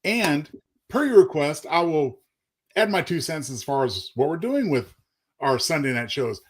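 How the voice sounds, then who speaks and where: A man co-hosting a casual talk show, explaining plans in a measured, conversational way. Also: on the high side, very animated, husky. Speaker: co-host. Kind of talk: casual show announcements and banter.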